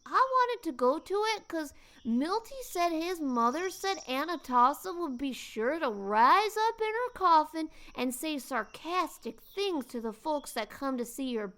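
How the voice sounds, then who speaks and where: A woman's voice: sits high, lively intonation, a little slow, a bit bright, faint music behind, pitched above the performer's own voice, heavily acted. A woman voicing a gloomy ten-year-old boy who whines and drags out his complaints. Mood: sulky.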